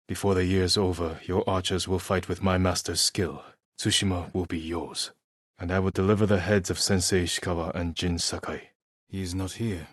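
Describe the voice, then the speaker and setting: A man playing a stoic, determined samurai warrior in a video game, with a husky, hushed voice and a terse, grave, measured delivery. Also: low voice, dry recording, studio quality. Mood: grim, reading aloud. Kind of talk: dramatic video game voice acting.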